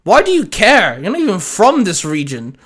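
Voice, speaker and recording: A man's voice: high voice, huge pitch swings, normal speed, fairly bright tone, breathy edge, voice pushed up, heavily acted. A man voicing a scornful young villain who snarls and mocks in a story reading. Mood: snarling. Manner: snarling, mocking, cackling. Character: sneering boy villain, scornful young Pokemon battler.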